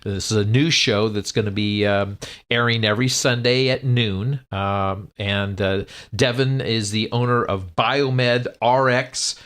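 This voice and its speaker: A man's voice: lowish voice, steady tone, measured pace, a bit bright. An American man co-hosting a radio show, moderating and putting questions to his colleague. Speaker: radio co-host.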